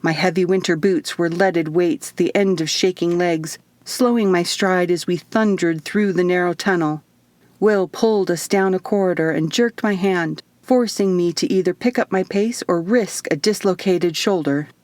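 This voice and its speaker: Lower-pitched woman narrating an audiobook. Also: normal speed, darkish tone, no room sound. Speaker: author reading own audiobook. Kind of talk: first-person dramatic audiobook narration.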